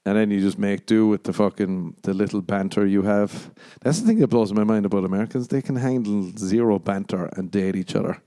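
A young Irish man hosting a podcast, rambling and swearing as he jokes, jumping into high squeaky voices for the punchlines. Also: fairly quick, hardly any pauses, dark tone. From Ireland. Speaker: podcast host. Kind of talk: casual comedic monologue.

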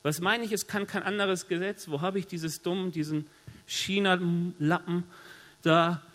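A middle-aged man with a cold, preaching a sermon in a lively, conversational way with joking asides. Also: sits high, measured pace. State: has a cold. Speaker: pastor. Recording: long hall reverb.

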